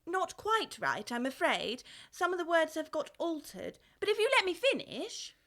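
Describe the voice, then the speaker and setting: A woman's voice: very high-pitched, sing-song, moderate pace, a bit bright, full character voice. A woman playing a polite, timid and puzzled little girl, hesitant and easily flustered, in a full-cast audiobook. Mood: apologetic.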